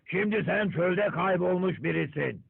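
Gravelly, scheming tyrant cartoon film director. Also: male voice, high-pitched, lively intonation, a little slow, heavily acted. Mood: bossy, barking. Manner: bossy barking, swinging to oily muttering. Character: bullying, scheming male film director, villainous and bossy. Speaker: cartoon voice actor. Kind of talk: theatrical Turkish cartoon dubbing. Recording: muffled lo-fi cartoon soundtrack with music.

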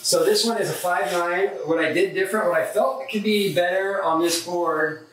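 A man's voice: sits high, moderate pace, a bit bright. A middle-aged man from San Diego, a surfboard shaper, talking casually and rambling with fillers as he reviews a board in his workshop. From San Diego, California. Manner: loose and rambling, many fillers and restarts. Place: surfboard workshop room, indoors. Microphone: camera mic from a few meters away. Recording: echoey room, distant camera mic, faint noise.

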